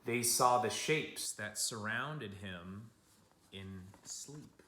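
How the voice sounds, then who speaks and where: A man giving an expressive read-aloud of a picture book for children, like a teacher speaking to young listeners. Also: on the low side, extremely slow, slight background noise. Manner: addresses young listeners with simple asides and questions. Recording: roomy, echoing room sound.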